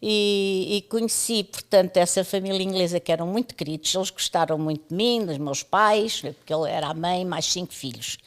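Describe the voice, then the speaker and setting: An older woman from East Timor tells her life story to podcast hosts. Her voice sits a little low, and she wanders through her memories in a chatty, reminiscing way, laughing often. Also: fairly quick, hardly any pauses. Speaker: interview guest, radio pioneer. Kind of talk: storytelling conversation.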